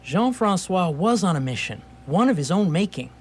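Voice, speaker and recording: A man playing a wry storyteller, narrating a scripted radio theatre story in a measured way. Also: high voice, very animated. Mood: wry narration.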